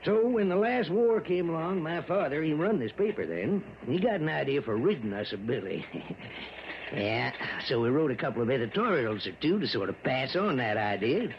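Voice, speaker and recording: A man's voice: on the high side, sing-song. An actor in an old-time radio drama playing a worried, rambling small-town mayor and newspaperman with a rural American accent. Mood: wry storytelling. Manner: rambling, folksy, emphatic drawn-out storytelling. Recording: muffled old radio recording, faint noise.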